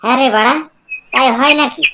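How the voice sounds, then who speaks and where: A woman's voice: sits high, a little fast. A woman voicing a blunt, slangy young village lad in a comedy cartoon. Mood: dismissive. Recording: loud birdsong and clicking noise.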